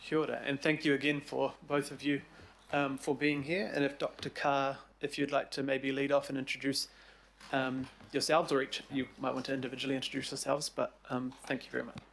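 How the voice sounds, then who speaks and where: Gravelly flat-voiced meeting chair. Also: male voice, mid-range voice, slight background noise. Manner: polite, halting. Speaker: committee chair.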